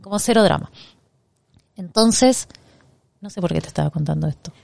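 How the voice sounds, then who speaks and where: A woman's voice: on the low side, normal speed, vocal fry, a little mellow, no echo. Wry Argentine woman in her forties. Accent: Argentine Spanish. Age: 40s. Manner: chatty, wry, self-mocking. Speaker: co-host. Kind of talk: casual chat.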